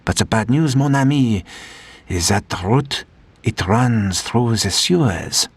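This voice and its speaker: An actor playing a courteous, wry French prisoner of war officer in a video game, speaking formally with a French accent. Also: male voice, medium pitch, lively intonation, husky, full character voice. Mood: wry, sympathetic. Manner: measured, formal, slightly theatrical. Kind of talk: video game cutscene acting.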